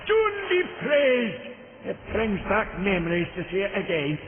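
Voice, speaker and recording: Casting: an animated old craftsman, echoing hall, tinny noisy audio. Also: high voice, unhurried, full character voice. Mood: fond. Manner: fond, reminiscing and lively. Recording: tinny audio with long hall reverb and noise.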